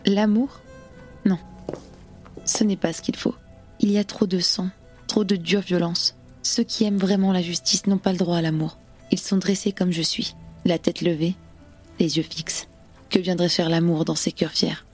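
An actress playing a grave, proud and sorrowful woman revolutionary in a French radio play, with solemn, lyrical, measured delivery. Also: fairly low pitch, little pitch movement, a little mellow, faint music behind, natural voice. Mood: grave, bitter. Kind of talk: French radio theatre acting.

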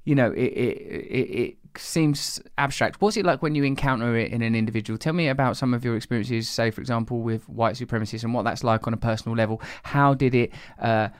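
A man's voice: mid-range voice, a little fast, hardly any pauses, clean tone, no echo, studio quality. A man interviewing conversationally, putting his questions politely and with tentative, careful phrasing. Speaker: podcast interviewer.

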